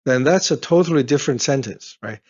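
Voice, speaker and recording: A man's voice: medium pitch, expressive, brisk, breathy edge, dull audio. A man from Beijing with a Chinese accent, a native Mandarin speaker teaching Chinese to beginners in a casual, conversational way, often checking in with "right?" and "uh". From Beijing, China. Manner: conversational, frequent "right?" and "uh" fillers. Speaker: Chinese tutor. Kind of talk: casual explanatory lesson.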